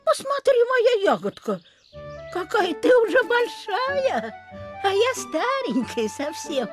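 A weary, affectionate old grandmother from a cartoon. Her voice is very high and swings up and down in slow, fussing phrases. Music plays behind. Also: wildly swooping pitch, full character voice. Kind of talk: cartoon voice acting.

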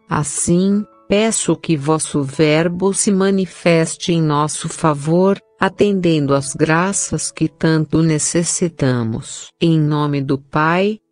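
A woman reading scripted devotional words in a measured, deliberate way. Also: deep voice, darkish tone, no echo. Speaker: prayer and mantra reader. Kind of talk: slow scripted devotional narration. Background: background music.

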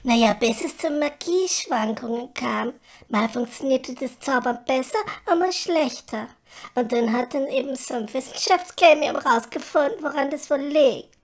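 A woman's voice: high voice, normal speed. A woman playing a vain, flighty, chatty fairy in a comedy audio drama, with theatrical, airy delivery. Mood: sheepish. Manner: theatrical, exclaiming, airy, shifting to chatty explaining.

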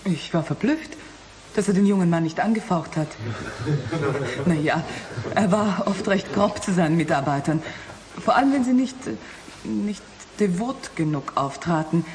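An actress playing a flustered, dutiful secretary, polite and hesitant in a radio drama. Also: female voice, lowish voice, normal speed, darkish tone, slight background noise, lightly acted. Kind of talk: German science fiction radio drama acting.

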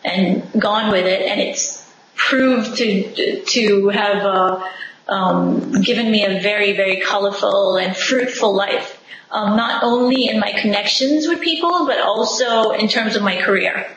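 A woman's voice: medium pitch, measured pace. Enthusiastic woman from Singapore on a video call. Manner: enthusiastic, expressive, laughs often. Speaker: interview guest. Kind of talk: casual video-call interview. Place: home living room, indoors. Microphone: video call webcam. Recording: echoey room, faint background noise.

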